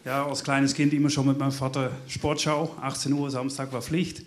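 A man's voice: medium pitch, level tone, normal speed, a little hiss or hum. A man from the Netherlands with a Dutch accent, asking a question from the audience in a friendly, self-deprecating way.

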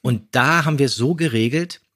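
Husky man chatting casually. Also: medium pitch, brisk, fairly bright tone. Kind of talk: casual studio chat.

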